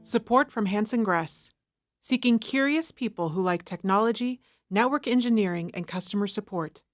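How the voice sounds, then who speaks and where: A woman's voice: medium pitch, moderate pace, no room sound, clean studio sound, soft background music. A woman reading a scripted sponsor announcement for radio. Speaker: underwriting announcer.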